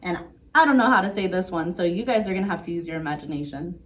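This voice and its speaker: A woman's voice: fairly low pitch, lively intonation, fast talker. A woman hosting story time and crafts for young children, talking in a simple, sing-song way. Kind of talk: picture-book read-aloud and craft demonstration for young children. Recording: noisy, distant microphone.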